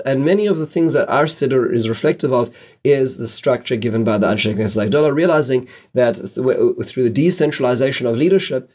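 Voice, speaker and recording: Man teaching a prayer class, halting restarts. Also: mid-range voice, darkish tone. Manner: explanatory, frequent restarts and stammered words. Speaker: religious teacher. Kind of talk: spoken Torah class on prayer.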